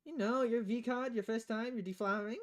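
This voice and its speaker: A woman's voice: a little high, steady tone, brisk, close to an everyday voice. A woman voicing a blunt, teasing young woman bartender in a visual novel, casually and informally. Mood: prying, teasing.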